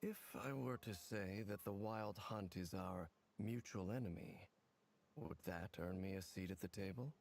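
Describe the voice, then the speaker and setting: A man's voice: low voice, a little slow, a little mellow. A man playing a reserved, grave wanderer with a dry, guarded manner in a video game. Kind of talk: video game character dialogue. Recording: faint noise from game stream.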